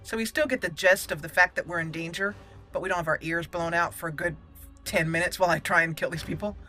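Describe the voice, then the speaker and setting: Bright-voiced woman playing a game. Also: fairly low pitch, steady tone, quick pace, soft background music. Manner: thinks aloud, repeats words. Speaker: gaming channel host. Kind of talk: casual let's play commentary.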